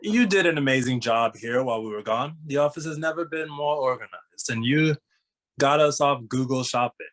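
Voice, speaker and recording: A man playing a kind, level-headed detective in an animated comedy, sincere and explanatory. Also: medium pitch, normal speed, close to an everyday voice. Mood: encouraging. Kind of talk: animated comedy table read.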